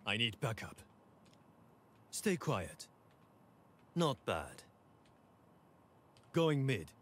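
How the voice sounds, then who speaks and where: An actor playing a tactical shooter game agent, giving short, urgent, clipped team commands. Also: male voice, mid-range voice, sing-song, very slow, slightly rough, full character voice. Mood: terse, alert. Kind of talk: short video game voice lines.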